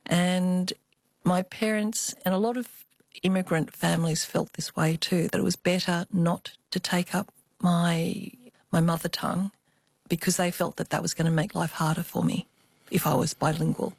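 An older woman with an Australian accent, telling personal memories as an interview guest in a thoughtful, expressive way. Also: lowish voice, normal speed, creaky, no room sound. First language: Cantonese. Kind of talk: reflective personal storytelling.

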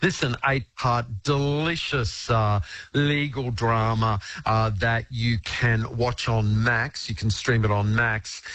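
A man's voice: medium pitch, steady tone, slow, fairly bright tone, dry recording. A man, a TV critic chatting on the radio in an enthusiastic way, with many fillers. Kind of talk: radio review chat.

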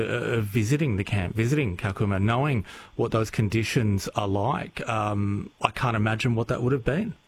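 A man's voice: medium pitch, breathy edge. A man hosting a conversational broadcast interview. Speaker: radio host, interviewer.

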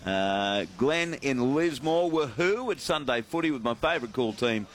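A man's voice: medium pitch, lively intonation, normal speed, a little hiss or hum. An Australian man hosts a sports radio broadcast in a loose, chatty way. He jokes with his co-hosts and reads listener messages aloud between updates. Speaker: radio sports show host. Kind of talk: casual sports radio banter.

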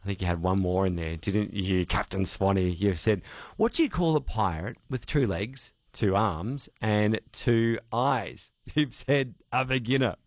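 Brief: upbeat male radio host, lowish voice, close dry studio mic. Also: a little slow, dry recording. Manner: upbeat and chatty, with many "uh" fillers. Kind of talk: casual radio chat. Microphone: studio microphone.

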